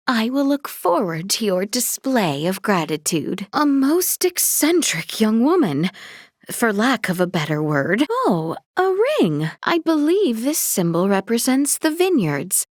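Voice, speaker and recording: A woman's voice: medium pitch, no echo, studio quality. A woman voicing a young noble princess in a video game, earnest and dignified, with formal, polite, precisely worded speech. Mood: warm, polite, then courteous.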